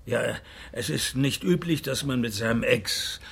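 An actor playing a dry, sardonic private detective in a radio play, deadpan, with a gravelly, husky voice. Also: male voice, medium pitch, measured pace, full character voice. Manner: deadpan, sarcastic. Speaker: detective narrating his own case. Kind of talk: radio play narration and dialogue.

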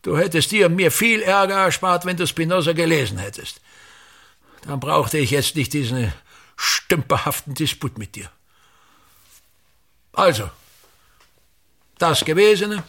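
A husky, gravelly theatre tyrant, with a highish pitch that swoops widely. He declaims pedantically and condescends with easy exasperation, in the style of a German radio play. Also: expressive, measured pace, long pauses, a bit bright, exaggerated voice. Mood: impatient, reproachful. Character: pompous, tyrannical theatre director rehearsing his own comedy. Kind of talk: German radio drama acting.

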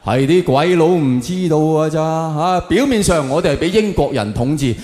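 A man's voice: on the high side, animated, moderate pace, a little mellow. Hong Kong Cantonese man in an echoey hall. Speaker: stand-up comedian. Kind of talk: stand-up comedy monologue. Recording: echoey hall with long reverb.

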